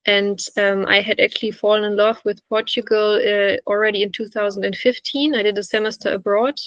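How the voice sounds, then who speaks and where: A woman talks casually about her own experiences. Her pitch is average. The sound is close and dry, a little dull and lo-fi. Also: steady tone, a little fast, no echo. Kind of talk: casual personal story.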